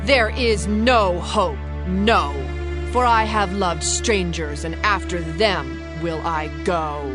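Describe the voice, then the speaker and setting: A man voicing the wayward people of Israel in a dramatized scripture reading, declaiming in a slow, drawn-out way. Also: sits high, music in the background, voice pushed up. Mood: despairing defiance.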